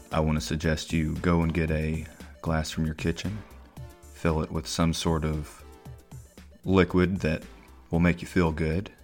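Very deep-voiced man, measured delivery. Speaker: podcast host and audio drama narrator. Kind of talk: horror story narration and introduction.

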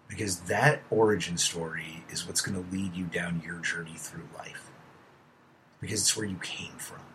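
A man's voice: low voice, a bit bright, slight background noise, rough recording. A man in his late thirties hosting a casual motivational monologue, emphatic and talking straight to the listener, sometimes dropping to a near-whisper. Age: 30s. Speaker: host.

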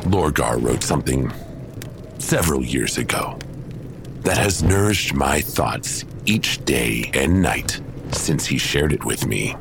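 A stern, superhuman warlord in an audio drama. His voice is extremely low and full of gravel, and he delivers grave judgment slowly and solemnly, like a commander holding court. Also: expressive, noisy background, full character voice. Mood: solemn conviction. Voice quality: very deep, gravelly. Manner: slow, solemn, weighty oratory.

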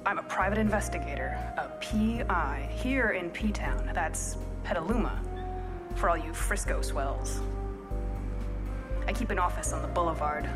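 An actress plays a hard-boiled woman detective in a noir radio play, with music behind her. She is cool and sure of herself, tossing off slangy wisecracks with a deadpan delivery. Her pitch sits in the middle range for a woman. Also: close to an everyday voice. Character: wry hard-boiled female private investigator, cool and confident. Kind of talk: noir radio play acting, narration and dialogue.

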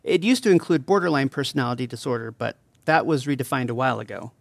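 A man's voice: mid-range voice, quick pace, gravelly, no room sound. A man acting as a thoughtful advocate interviewed in an audio drama, explaining earnestly and didactically. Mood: earnest, explanatory. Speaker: interview guest.

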